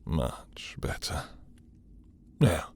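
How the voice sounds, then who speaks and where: A man acting a sadistic, seductive vampire lord in an intimate roleplay audio drama, silky and menacing, with a breathy voice and theatrical pauses. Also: in a whisper. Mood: commanding, teasing. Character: possessive, sadistic, seductive male vampire lord, taunting his captive.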